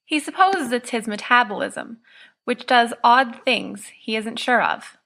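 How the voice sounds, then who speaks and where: A woman's voice: on the high side, animated, measured pace, gravelly, sharp tone. A woman reading scripted story narration as an audiobook reader.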